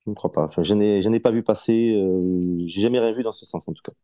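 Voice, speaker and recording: A man in his late forties with a low, mellow voice talks as a podcast guest. He is relaxed and unhurried, often hesitating and restarting his sentences. The sound is dry and close. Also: no echo. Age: 40s. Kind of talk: casual interview chat.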